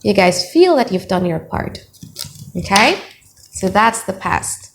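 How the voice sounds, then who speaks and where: A woman's voice: medium pitch, very animated, takes it slowly. Indonesian lady reading tarot cards, chatty and blunt, faint noise in the room behind. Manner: chatty, blunt, thinks aloud while laying out cards. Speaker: tarot reader. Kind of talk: casual tarot reading to camera. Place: home room, children heard nearby.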